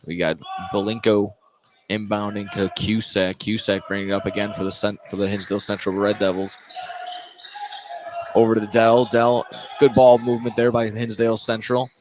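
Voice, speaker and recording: A man doing live play-by-play basketball commentary, a little halting, often repeating and correcting himself. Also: on the low side, level tone, background noise. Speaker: play-by-play announcer. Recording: clear gym ambience, bouncing basketballs. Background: basketball game in a gym.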